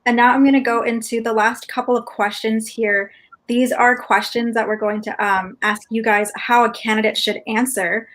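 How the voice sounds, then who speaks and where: A woman's voice: a little high, level tone, normal speed, fairly bright tone. Upbeat woman moderating a webinar panel. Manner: upbeat, encouraging, thanks each speaker.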